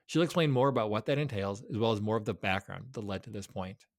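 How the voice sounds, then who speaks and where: A man's voice: lowish voice, fast. A man hosting a podcast, welcoming the guest and setting up questions in an upbeat way. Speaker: podcast host. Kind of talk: podcast interview.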